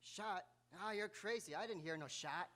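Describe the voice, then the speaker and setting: A man playing an excitable, nosy bystander on a city street in a live radio drama, blunt and streetwise. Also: high voice, moderate pace. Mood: agitated. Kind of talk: live old-time radio drama acting.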